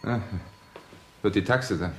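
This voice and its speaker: An actor playing an evasive, placating husband with a guilty secret in a radio crime drama, by turns soothing and impatient. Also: male voice, lowish voice, unhurried, background noise, close to an everyday voice. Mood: coaxing, evasive.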